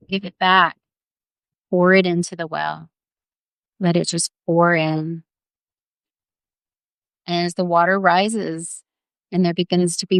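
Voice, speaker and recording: A woman's voice: lowish voice, vocal fry, smooth, no room sound. A woman leading a guided visualization in a tender, earnest, coaxing way, improvising as she goes and repeating her phrases. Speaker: guided meditation leader.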